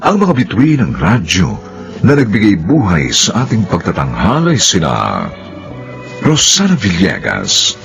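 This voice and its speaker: A Filipino man working as a radio drama announcer, reading a formal closing script with theatrical, drawn-out phrasing. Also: low voice, huge pitch swings, creaky, a bit bright. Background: music.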